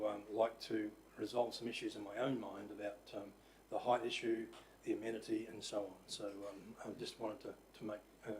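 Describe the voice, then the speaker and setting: Croaky Australian man, mayor chairing a council meeting, low voice, hesitant with fillers, faint room hum. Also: a little mellow, poor audio. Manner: hesitant, many fillers, polite. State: croaky voice after illness. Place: council chamber.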